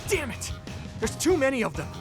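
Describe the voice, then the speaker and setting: An actor voicing a steady, loyal young male soldier in a video game cutscene, sincere and supportive in manner. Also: very high-pitched, a little fast, loud music behind the voice. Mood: urgent.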